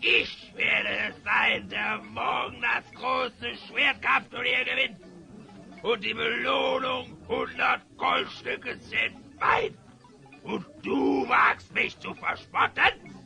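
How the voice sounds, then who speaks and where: A man's voice: very high-pitched, steady tone, takes it slowly, creaky, sharp tone, soft background music, heavily acted. An actor playing a boastful, bullying drunken sword fighter in a fantasy audio drama, bellowing his threats. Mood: angry, boastful.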